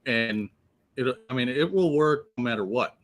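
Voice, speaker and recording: A man's voice: medium pitch, lively intonation, normal speed. Blunt, laughing male stream host. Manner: blunt, laughing, swears freely. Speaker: livestream host. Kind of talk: casual chat. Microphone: laptop on a remote call.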